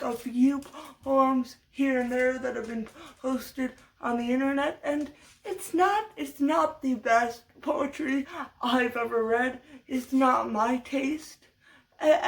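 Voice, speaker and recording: A young woman with a high voice, speaking slowly and haltingly. Her voice is fading from allergies and her nose is stuffed up. She keeps restarting words as she chats to camera in a loose, unscripted vlog. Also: rough recording. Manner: halting, many restarts and stutters. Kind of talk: unscripted casual commentary vlog.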